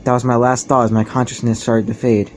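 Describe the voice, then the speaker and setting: A man's voice: mid-range voice, flat delivery, brisk, a little mellow, slight background noise, close to an everyday voice. A man playing an earnest, bewildered ordinary teenage boy who narrates his own ordeal in an anime game fandub. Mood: dazed reflection. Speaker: protagonist and narrator. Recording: music behind the voice.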